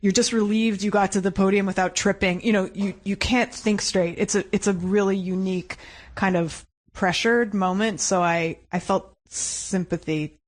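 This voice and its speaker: A woman chatting casually as a guest on a radio show, self-deprecating and quick to laugh. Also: mid-range voice, fairly even intonation. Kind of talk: casual chat.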